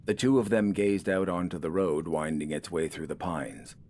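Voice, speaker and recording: A man reading a scripted dramatic story in a measured, deliberate storytelling manner. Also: low voice, darkish tone, a little hiss or hum. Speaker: audiobook narrator.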